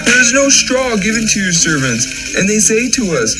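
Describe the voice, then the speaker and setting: A man's voice: high voice, big pitch swings, normal speed, poor audio. A man playing a distressed Hebrew foreman, complaining and pleading in a scripture audio drama. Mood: distressed, protesting. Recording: loud music under the voice.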